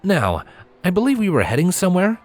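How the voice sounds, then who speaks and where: A man's voice: sits high, huge pitch swings, brisk, faint music behind, pitched above the performer's own voice, full character voice. Man playing a sarcastic, resigned young stallion. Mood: wry. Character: dry, sarcastic young stallion, good-natured.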